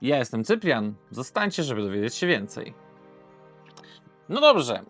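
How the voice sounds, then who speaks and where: A man's voice: medium pitch, big pitch swings, measured pace, fairly bright tone, faint music behind. A man hosting an unscripted board game video, hesitant and often correcting himself. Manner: hesitant, self-correcting, throat-clearing. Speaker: board game reviewer and host.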